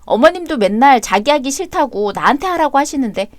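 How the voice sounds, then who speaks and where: A woman voicing a calm, sharp-tongued mother who answers bluntly and mockingly. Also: sits high, brisk, no room sound, clean studio sound.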